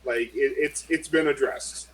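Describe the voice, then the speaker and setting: A man's voice: medium pitch, steady tone, measured pace, crowd noise. A man playing a tabletop game, making a case with many fillers and hedges. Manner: persuasive, hedging with fillers. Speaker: player. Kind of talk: casual tabletop game talk.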